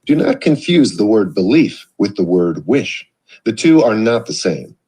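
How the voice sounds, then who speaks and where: A man reading a self-help book aloud in a measured, expressive way. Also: on the low side, husky. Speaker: audiobook reader. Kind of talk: read-aloud narration.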